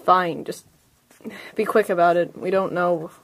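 A woman's voice: fairly low pitch, steady tone, unhurried, a little hiss or hum, poor audio. A woman chatting casually and playfully as a player in a tabletop game. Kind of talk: casual in-character game chat.

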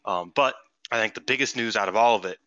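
Podcast co-host, male, stuffy-nosed, bright and dry, loose rambling chat. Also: fairly low pitch, quick pace, no room sound, muffled recording. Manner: rambling, hesitant, swears casually. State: congested. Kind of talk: casual chat.

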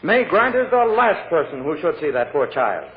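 Fussy male attorney, American, highish swooping voice, stuffy, indignant, old radio drama, tinny narrow sound. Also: big pitch swings, moderate pace. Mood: shocked, indignant. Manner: stuffy, protesting, emphatic.

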